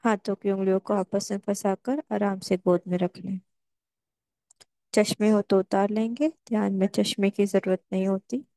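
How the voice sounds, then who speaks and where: A woman guides a meditation in short, measured phrases. Her voice is mellow and stays very flat in pitch. The sound is dry, with no room around it. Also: no room sound.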